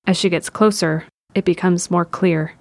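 A woman's voice: on the low side, fairly even intonation, moderate pace, gravelly, no echo. A woman reading scripted narration for an audio drama.

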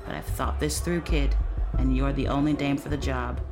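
A woman playing a wry, domineering middle-aged police captain and mother in an audio drama, brisk and folksy in her persuasion. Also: extremely low pitch, steady tone. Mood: confiding, persuasive. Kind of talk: audio drama acting, natural dialogue. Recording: music playing behind the voice.

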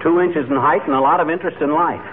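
A man's voice: a little high, fairly even intonation, fast, lightly acted. Man playing a blustery radio-comedy husband, tinny and noisy. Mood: weary, grumbling. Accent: American. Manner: blustering, folksy, complaining. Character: grumbling, excitable middle-aged husband, a comic bumbler. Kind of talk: 1940s radio comedy acting.

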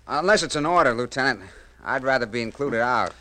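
An actor playing a blunt, slangy police sidekick in a 1950s radio crime drama, speaking in clipped phrases. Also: male voice, medium pitch, animated, a little fast, sharp tone, poor audio. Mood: reluctant, wry. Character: blunt, wisecracking police officer, the lieutenant's sidekick.

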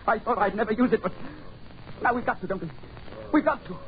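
An actor playing a frantic, terrified diplomat in a 1940s radio horror drama. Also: male voice, high-pitched, fairly quick, natural voice. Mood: frantic, desperate. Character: frantic, terrified diplomat urging use of a gun. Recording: muffled, noisy old radio recording.

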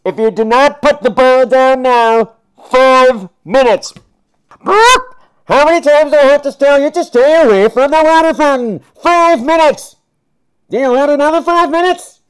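A man's voice: very high voice, a little slow, pitched above the performer's own voice, low-quality recording. A man voicing a bossy, scolding woman who sternly announces punishments in clipped, repetitive commands.

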